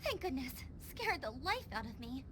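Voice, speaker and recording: A woman voicing a caring, energetic young female adventurer in an anime-style game, girlish and earnest. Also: high voice, normal speed, background noise. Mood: concerned, urgent. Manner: earnest, encouraging.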